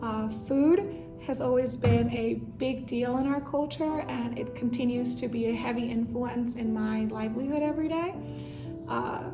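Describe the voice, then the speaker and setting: Woman, native Spanish speaker, raised in Puerto Rico; high school Spanish teacher, documentary interview, earnest and reflective, fairly high voice, roomy echo, music bed. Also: moderate pace. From Dominican Republic, raised in Puerto Rico. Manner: earnest, reflective, with frequent fillers.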